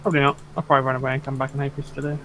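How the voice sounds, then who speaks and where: A man's voice: medium pitch, little pitch movement, racing, bright, forceful tone, slight background noise. A man playing alongside a friend, teasing and joking casually while he games. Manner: teasing, jokey. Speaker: co-player friend. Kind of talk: casual gaming chat.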